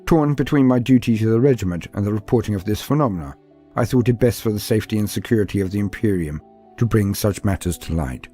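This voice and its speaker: A low-pitched, husky man narrates a scripted tale in a theatrical way. His delivery swings widely in pitch and leans on dramatic pauses and asides, with music playing underneath. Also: animated, warm tone. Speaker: narrator of a lore guide.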